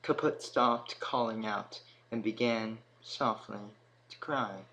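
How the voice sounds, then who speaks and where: A man narrates a storybook tale in a lowish voice at a very unhurried pace. The recording carries audible background noise. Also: pushed and bright, poor audio.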